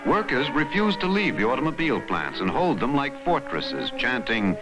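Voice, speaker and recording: A man's voice: mid-range voice, sing-song, normal speed, low-quality recording. A man with an American accent reads scripted documentary narration in a dramatic, emphatic newsreel style. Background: background music.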